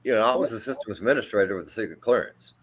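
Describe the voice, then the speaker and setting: A man with a deep, low voice that carries, an army veteran in middle age. He tells his story haltingly, circling back with fillers and restarts. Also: expressive, a bit bright, slight background noise. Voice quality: deep, carrying voice. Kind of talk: emotional storytelling in interview.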